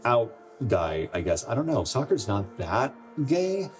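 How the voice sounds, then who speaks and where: A young man playing a wistful, self-conscious 27-year-old in a naturalistic radio drama, speaking in a halting, conversational way. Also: mid-range voice, big pitch swings, faint music behind. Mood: hesitant, reflective. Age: young adult.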